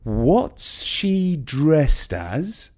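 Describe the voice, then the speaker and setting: A man voicing a mild, put-upon father in a children's story, speaking in a measured, wry way. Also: a little high, very animated, a little mellow, exaggerated voice. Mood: surprised, wry.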